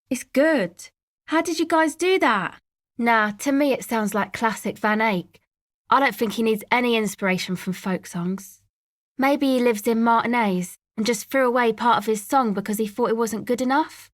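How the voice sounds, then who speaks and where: A woman's voice: a little high, no echo, lightly acted. A woman voicing a laid-back young woman from a street rave crew in a video game, talking casually in a dry, hesitant, slangy way. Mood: awed, excited, then offhand, sceptical. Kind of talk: video game character dialogue.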